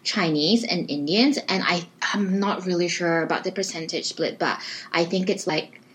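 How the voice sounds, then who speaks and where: A woman's voice: fairly low pitch, normal speed, a bit bright. A young woman from Malaysia, chatting casually and explaining things at length. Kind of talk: casual conversation.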